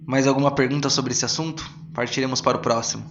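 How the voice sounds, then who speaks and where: A man's voice: mid-range voice, steady tone, fast, muffled recording, soft background music. A man with a Brazilian accent narrating a tabletop RPG audio drama as game master.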